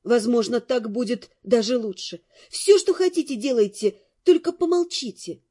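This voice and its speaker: Reader as a gloomy young woman in an audiobook, soft high voice, sullen, totally indifferent. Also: moderate pace, breathy edge. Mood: indifferent, weary. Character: gloomy, despairing, fearless young wife.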